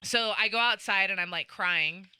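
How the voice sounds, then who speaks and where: A young woman on a podcast telling a rambling party story in a lively, casual way, with lots of "like" and swearing. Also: medium pitch, normal speed, slight background noise, rough recording. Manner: animated, swearing, heavy use of "like". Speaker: podcast guest.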